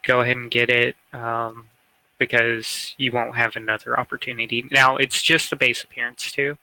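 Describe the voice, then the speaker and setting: A man co-hosts a gaming podcast in a casual, conversational style. His voice is mid-pitched and notably bright. The sound is dry, with very little room to it. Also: level tone, normal speed, no room sound, poor audio. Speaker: podcast co-host.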